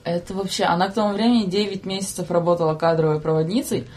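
A woman's voice: on the low side, fairly even intonation, fast, darkish tone, slight background noise. A young woman as a podcast guest, telling slangy anecdotes expressively and acting out quoted speech. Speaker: podcast guest, train conductor. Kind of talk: casual anecdotal storytelling.

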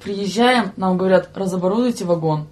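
Young woman, podcast guest, medium pitch, casual storytelling, slangy, expressive, quotes others in direct speech. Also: a little fast. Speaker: podcast guest, train conductor.

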